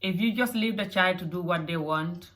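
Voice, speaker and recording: A woman's voice: fairly low pitch, moderate pace, a bit bright. A mother with a mixed African and Scottish accent, speaking in an earnest motivational talk to camera and often repeating phrases. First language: not English. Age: adult mother. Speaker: vlogger.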